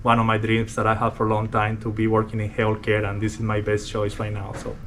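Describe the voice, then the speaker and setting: Man, Colombian accent, respiratory therapist, hesitant, fillers, even pitch, faint hum behind. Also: fairly quick. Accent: Colombian Spanish. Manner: hesitant, many fillers and restarts. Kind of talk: casual workplace interview.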